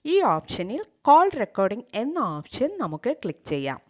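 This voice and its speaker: A woman's voice: medium pitch, big pitch swings, normal speed, a little mellow. A woman with a Malayali accent, hosting a tech tutorial and narrating scripted step-by-step instructions.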